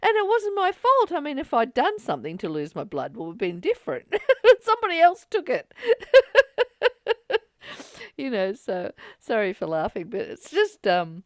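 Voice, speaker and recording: A woman's voice: on the high side, wildly swooping pitch, normal speed, no echo, clean studio sound. A woman co-hosting a spiritual study group, talking in a casual, reflective, conversational way.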